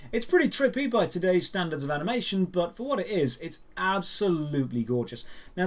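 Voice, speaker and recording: A male online news host with a voice pitched a little higher than most men. He delivers geek news as opinionated commentary. His delivery is conversational and emphatic, with rhetorical asides to the viewer. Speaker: YouTube host presenting geek and gaming news.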